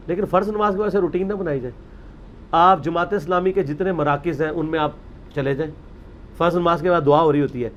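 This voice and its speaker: A man's voice: a little high, dull audio, a little hiss or hum. A man giving a religious talk, arguing his point with rhetorical questions and emphasis. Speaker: religious speaker. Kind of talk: lecture on religious practice.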